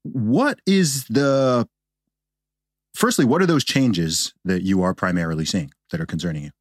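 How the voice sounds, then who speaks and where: Deep voiced host dude, chatty and keen, asking stuff, dry close sound. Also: male voice, a little slow, no room sound. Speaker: podcast host. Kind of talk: podcast interview. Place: studio.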